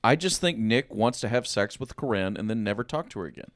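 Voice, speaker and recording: Male producer, fairly low register, dry sound, stop-start casual argument. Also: expressive, brisk, no room sound. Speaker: podcast producer. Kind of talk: casual opinion chat.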